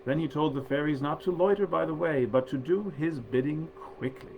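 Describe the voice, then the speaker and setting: A man's voice: a little high, moderate pace, gravelly, narrow, tinny audio, a little hiss or hum. A man hosting a literary reading, speaking as a presenter and storyteller of old tales. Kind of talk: informal introduction, then storybook reading aloud.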